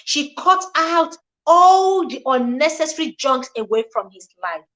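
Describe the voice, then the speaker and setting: A woman in her forties hosts a live relationship talk in a bright, ringing voice. She speaks earnestly and preaches in repeated phrases. She stops to greet viewers by name. Her voice sits high. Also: rough recording. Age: 40s. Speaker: relationship show host.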